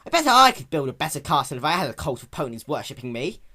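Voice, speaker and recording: A man's voice: fairly high pitch, big pitch swings, fast, pushed and bright, exaggerated voice. A man voicing a stubborn, boastful young male dragon in a dramatic reading of a cartoon fan story. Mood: smug, dreamy.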